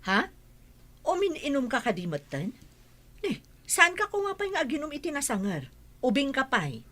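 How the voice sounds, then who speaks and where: Bright, high-pitched Ilocano auntie. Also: female voice, wildly swooping pitch, normal speed, exaggerated voice. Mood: nosy, chiding. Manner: questioning, chiding. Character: nosy village storekeeper auntie, questioning a youngster. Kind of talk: Filipino radio drama acting.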